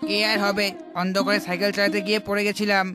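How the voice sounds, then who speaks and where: A high, monotone male voice from an actor plays a weary, ordinary villager in an animated folk tale. Music is clearly heard behind him. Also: fast talker, close to an everyday voice. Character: plain, weary village man.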